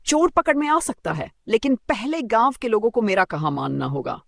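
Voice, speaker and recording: A man voicing a cunning, quietly confident old village woman in a storybook tale, with a knowing, measured manner. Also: high-pitched, sing-song, gravelly, no room sound, clean studio sound, pitched above the performer's own voice, exaggerated voice.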